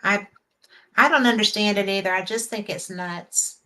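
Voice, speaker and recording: A woman's voice: medium pitch, moderate pace, sharp tone. An older woman with a Southern US accent, hosting a casual live-stream chat, chatty and folksy, laughing easily. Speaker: host.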